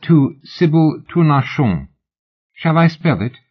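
A man reading an audiobook, voicing a pushy, excitable exiled woman who gushes and pleads in an overly familiar way, on a dull lo-fi recording. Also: fairly high pitch, slow, breathy edge, voice pushed up, full character voice. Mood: gushing.

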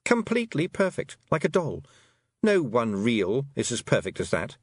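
A man voicing a calm, watchful inner voice. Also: medium pitch, normal speed, husky, no echo, clean studio sound. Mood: advising. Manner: calm, observant, advising.

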